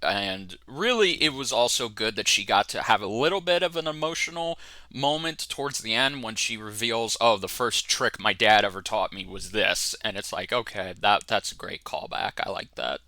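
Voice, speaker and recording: A man's voice: lowish voice, moderate pace, pushed and bright, no echo. A man co-hosting a casual review chat, talking in an enthusiastic, informal way. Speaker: co-host of a fan review show.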